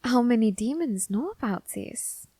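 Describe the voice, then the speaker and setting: A woman voicing a curious, androgynous grim reaper in an ASMR roleplay who questions a captive demon in a slow, teasing, softly whispered way. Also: a little high, lively intonation, slightly rough, no room sound.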